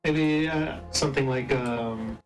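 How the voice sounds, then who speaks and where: A man's voice: mid-range voice, takes it slowly. A man playing a tabletop game and casually reasoning about tactics. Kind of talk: casual game strategy talk. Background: instrumental music.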